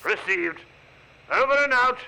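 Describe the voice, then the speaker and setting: An actor playing a commanding, ruthless British army general in a radio play, with clipped, authoritative delivery. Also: male voice, very high-pitched, animated, measured pace, gravelly, sharp tone, slight background noise, full character voice, low-quality recording. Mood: stern, commanding. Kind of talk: radio play acting, military radio exchange.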